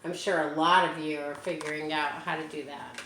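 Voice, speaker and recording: Woman storyteller reading to kids, lowish voice, unhurried, roomy room with faint hiss. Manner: animated, talks directly to young listeners. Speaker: children's book reader. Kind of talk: read-aloud storytime with chatty asides to kids.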